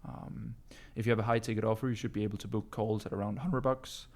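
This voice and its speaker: A Danish man speaks English as his second language, with a low voice that stays fairly level. He talks loosely and casually, peppering his answers with bro and um as he coaches his viewers. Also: fairly even intonation, fast talker. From Denmark. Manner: casual, calls listeners bro, many ums and uhs. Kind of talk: casual live-stream Q&A.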